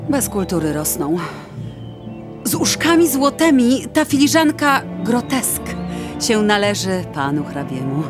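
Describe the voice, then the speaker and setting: A woman's voice: mid-range voice, big pitch swings, measured pace, over music. An actress playing a polite, fussing society mother and hostess in a radio drama, with a mannered, genteel air. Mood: polite, hosting.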